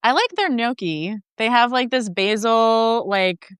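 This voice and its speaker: A woman's voice: a little high, a little slow, fairly bright tone, clean tone, no echo, studio quality. A woman hosting a casual interview, friendly and curious, with frequent short affirmations. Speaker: host.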